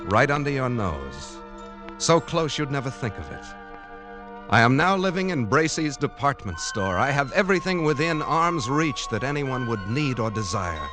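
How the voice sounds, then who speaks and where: A man's voice: medium pitch, very animated, moderate pace, close to an everyday voice. A man playing an eccentric, reclusive poet voicing his diary in a 1940s radio drama, theatrical and precise. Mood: exultant, dreamy. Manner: theatrical, precise, self-satisfied. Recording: vintage radio recording with music. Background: music.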